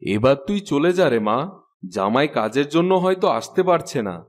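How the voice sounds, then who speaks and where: A man's voice: medium pitch, big pitch swings, moderate pace, lightly acted. A man voicing an older father in a cartoon, firm and admonishing, lecturing his grown child. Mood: coaxing. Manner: chiding, lecturing. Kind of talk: Bengali cartoon voice acting.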